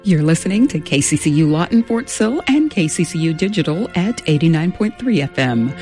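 A radio station announcer giving the station identification and the time in an unhurried manner. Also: medium pitch, warm tone.

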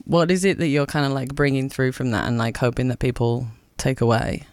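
A man's voice: medium pitch, fairly even intonation, a little fast, no echo. A man hosting a community radio show, interviewing a band in an upbeat, jokey, enthusiastic way. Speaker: radio host.

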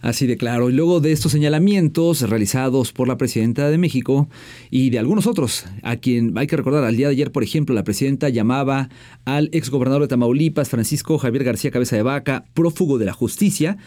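Fast-talking mellow Mexican newsman. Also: mid-range voice. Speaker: news radio host. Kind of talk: news presenting, part scripted, part conversational.

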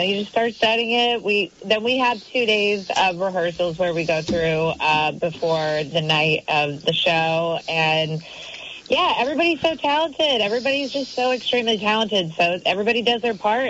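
A woman's voice: mid-range voice, fairly bright tone, slight background noise. Upbeat woman singer over a dull phone line. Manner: chatty, upbeat, laughs easily.

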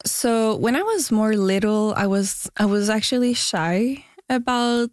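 A woman, answering interview questions as a musician in a soft, hesitant way, with lots of fillers and restarts. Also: a little high, animated, measured pace, no room sound, studio quality. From Quebec, Canada. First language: French and Spanish. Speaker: musician guest.

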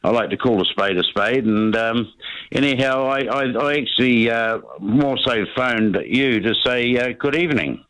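Halting New Zealand man calling talkback. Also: medium pitch, a bit bright, no echo, low-quality recording. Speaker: regular talkback caller. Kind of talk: casual phone-in chat.

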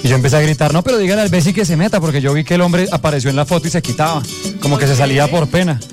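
A man's voice: a little high, rapid-fire. A man in his thirties with a Colombian accent, playfully telling slangy stories as a radio co-host. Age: 30s. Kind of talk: casual radio banter. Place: broadcast studio. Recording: music playing behind. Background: music.

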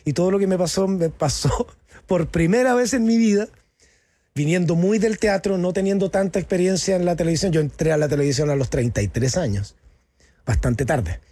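A man's voice: sits high, a little fast, mellow, dry recording. A middle-aged Chilean man, an actor speaking candidly in an interview, loose and slangy, often restarting his sentences.

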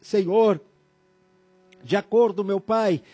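High-voiced husky man praying over music. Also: slow pace, warm tone. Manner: intercessory, repeating phrases, drifting into song. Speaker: preacher leading prayer. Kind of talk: fervent spoken prayer with sung phrases.

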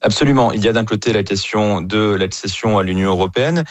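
A man's voice: lowish voice, a little fast, dry recording. A man with an accent from France, giving analytical answers as an expert guest. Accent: French from France. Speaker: geopolitics professor, expert guest.

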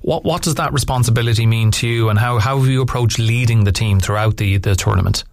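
A man's voice: medium pitch, quick pace, no room sound. Man, radio host, hesitant with ums and restarts, dry close sound. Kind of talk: radio interview.

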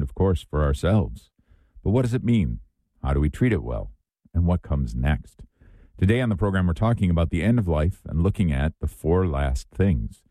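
A middle-aged male host with a hoarse, raspy voice that sits extremely low and mellow. He speaks in an earnest, reflective way on a spiritual talk show. The sound is dry and close. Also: no echo.

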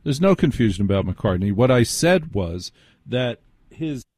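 An American man hosting a live call-in radio show, blunt and sardonic, quick to cut in. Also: mid-range voice, sing-song, a little mellow, no echo, clean studio sound.

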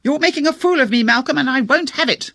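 A woman's voice: sits high, quick pace, fairly bright tone, dry recording, clean studio sound, voice pushed up. A woman reader voicing an angry wife raging at her husband. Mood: furious. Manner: bitter, furious. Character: bitterly angry wife shouting from upstairs.

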